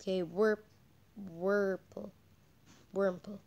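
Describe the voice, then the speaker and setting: A woman doing casual, halting game commentary as a channel host, her voice croaky from a cold. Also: mid-range voice, flat delivery, a little mellow. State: croaky with a cold, coughing and throat-clearing.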